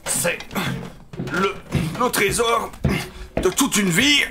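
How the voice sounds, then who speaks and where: A man's voice: high voice, animated, extremely slow, background noise, exaggerated voice. A man playing an eccentric, nostalgic older sound-effects hobbyist, rambling and hesitant in a comedic audio drama. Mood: eager. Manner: hesitant, rambling, chuckling enthusiast.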